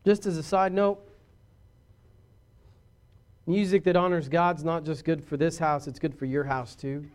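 Man, pastor; high-pitched voice, preachy and emphatic, swinging between soft asides and loud calls. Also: fairly even intonation, measured pace, pauses often, clear, resonant tone. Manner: preachy, rising to emphatic declarations. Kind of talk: sermon.

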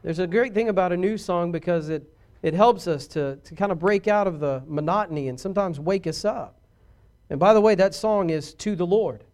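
A pastor preaching a sermon, shifting from quiet confiding asides to loud emphatic declarations. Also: male voice, high-pitched, moderate pace.

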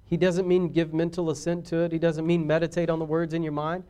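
Man, pastor, high voice, emphatic preaching, quiet asides building to loud declarations. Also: little pitch movement, fast, clear, resonant tone. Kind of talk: sermon.

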